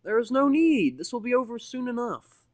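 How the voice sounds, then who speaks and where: A man voicing a cold, menacing rich businessman stallion in a fantasy audio drama, with smooth, formal, businesslike speech. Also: very high voice, big pitch swings, normal speed, no echo, highs cut off, pitched above the performer's own voice, heavily acted. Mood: cold menace.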